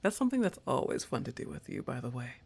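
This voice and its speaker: A woman playing a laid-back, teasing young goth roommate in an intimate ASMR roleplay, talking in an unhurried, conversational way to her crush. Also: a bass voice, big pitch swings, creaky, a little mellow, husky, exaggerated voice. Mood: soft, teasing, affectionate.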